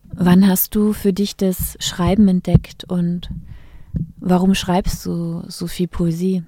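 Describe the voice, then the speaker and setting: A woman interviewing a poet, asking her questions in a measured, slightly hesitant way. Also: fairly low pitch, level tone, mellow, no room sound, studio quality.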